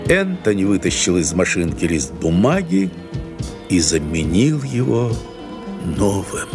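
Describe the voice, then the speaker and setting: A man narrates an audio drama from a script. His voice sits on the low side, and music is clearly heard under the narration. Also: very animated, unhurried.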